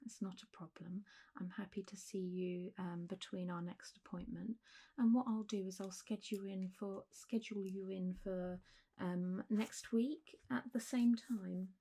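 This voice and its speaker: A woman doing a soft, half-whispered ASMR roleplay as a gentle, reassuring doctor talking a patient through care, in a close recording with faint hiss. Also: mid-range voice, fairly even intonation. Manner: gentle, reassuring, unhurried doctor's bedside talk. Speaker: ASMR performer playing a clinic doctor.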